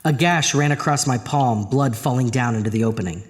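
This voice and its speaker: A man's voice: medium pitch, fairly quick, big-room sound, noisy background, natural voice. Actor as haunted man narrating a horror tale. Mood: uneasy. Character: Arthur, troubled married man haunted by a drain. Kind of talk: first-person horror audio drama narration.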